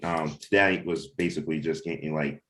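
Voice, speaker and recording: A man with a very low, deep voice speaks in a casual, informal discussion as a writer. He rambles and thinks out loud, circling back over his phrases as he goes. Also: steady tone, a little fast. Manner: rambling, thinks aloud with frequent repeats. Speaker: comic book writer.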